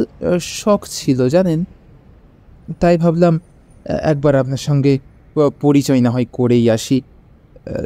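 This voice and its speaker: An actor playing a melancholy, timid middle-aged gentleman in an audio drama, soft-spoken and hesitant with frequent little "ah" pauses. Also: fairly high pitch. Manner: hesitant, halting with frequent sighing "ah"s.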